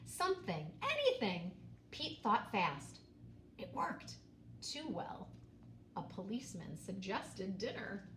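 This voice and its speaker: A woman reads a children's picture book aloud in an expressive, dramatic way, pausing and stressing key words. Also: medium pitch. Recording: roomy echo, faint background noise.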